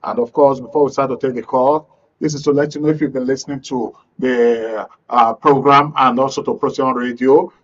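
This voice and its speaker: A man's voice: medium pitch, fairly even intonation, a little fast. Nigerian male radio phone-in host, slightly dull sound. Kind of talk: live phone-in talk show presenting.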